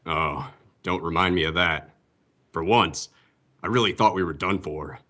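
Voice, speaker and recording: A grave, earnest vigilante hero played by a man in an old-time radio drama. The voice sits very low and has a hard, bright edge. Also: a little slow. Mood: earnest, hesitant.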